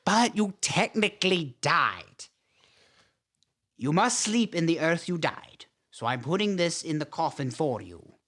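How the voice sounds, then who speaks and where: A man's voice: on the high side, big pitch swings, a little slow, fairly bright tone, exaggerated voice. An actor playing a courtly, easily flustered vampire father with formal, stilted phrasing in a campy horror comedy. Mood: exasperated, instructing.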